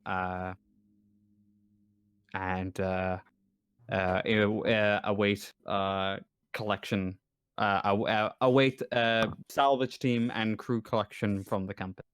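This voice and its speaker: A man acts as game master in a casual tabletop session. His voice is on the low side, smooth and clear, recorded very dry. He narrates haltingly, often searching for the next word. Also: steady tone, dry recording.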